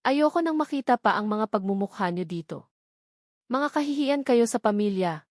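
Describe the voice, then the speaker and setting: A woman's voice: mid-range voice, lively intonation, moderate pace, dry recording, clean studio sound, lightly acted. Furious middle-aged dad, acted out by a woman narrator, harsh, scolding, condemning; family audio drama. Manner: scolding, shouted accusations.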